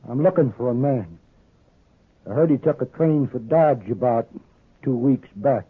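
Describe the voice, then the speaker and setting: An actor playing a weary, grimly determined old American farmer in a 1950s radio western, speaking plainly and haltingly. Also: male voice, medium pitch, breathy edge. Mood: grimly resolved. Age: older. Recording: muffled, narrow-band old radio recording.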